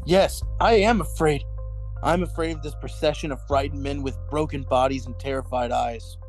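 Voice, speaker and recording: A woman's voice: sits low, normal speed, darkish tone, soft background music, rough recording. A woman playing a weary, fearful male rebel prisoner in a radio drama. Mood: afraid.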